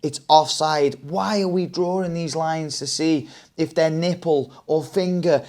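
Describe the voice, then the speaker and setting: A young man hosting a football podcast, talking in a casual, animated way that turns to ranting, often saying "mate.". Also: on the high side, unhurried. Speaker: podcast host.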